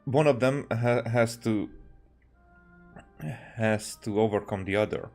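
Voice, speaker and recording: A man giving casual video game playthrough commentary, haltingly thinking aloud as he goes. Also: on the low side. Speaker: game streamer. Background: soft game music.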